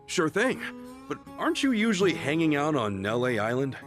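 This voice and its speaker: A man's voice: on the high side, huge pitch swings, normal speed, fairly bright tone, soft background music. A man playing an earnest, boisterous, good-hearted hero in a video game, talking casually and expressively. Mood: friendly, eager. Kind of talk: animated game cutscene acting.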